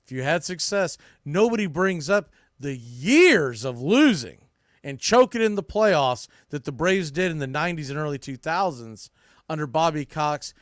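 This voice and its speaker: A man hosts a sports podcast in a fairly high voice, recorded close and dry. He talks informally and puts real emphasis behind his opinions, in the style of casual sports commentary. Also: animated, moderate pace, no echo.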